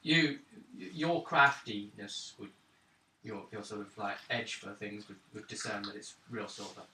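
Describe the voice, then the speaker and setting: A man's voice: on the low side, measured pace, bright, forceful tone. A man running a tabletop role-playing game as game master, casually narrating and answering players. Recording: roomy, distant mic, faint noise.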